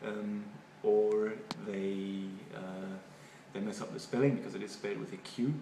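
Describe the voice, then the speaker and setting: Distant noisy recording, man, German accent, native German speaker, halting and thoughtful, interview answers. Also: on the low side, steady tone, vocal fry, mellow. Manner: halting, thoughtful, repeats words. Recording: echoey room, far from mic, noisy.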